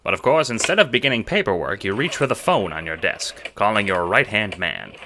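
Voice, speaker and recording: A man's voice: fairly low pitch, very animated, fairly quick, background noise. A man narrating a scripted audio drama in the second person, with dramatic storytelling delivery.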